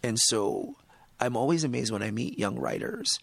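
A man whose native language is Tagalog, speaking as an author guest in a casual interview, animated and emphatic, often laughing. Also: fairly low pitch, normal speed, vocal fry, no echo. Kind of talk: casual conversation.

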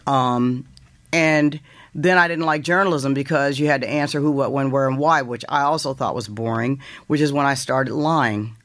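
Deep voiced woman in her 50s, author guest chatting, lively retelling, dry mic. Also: normal speed, no room sound. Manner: animated, quotes others in lively voices, laughs while telling. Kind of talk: casual storytelling interview.

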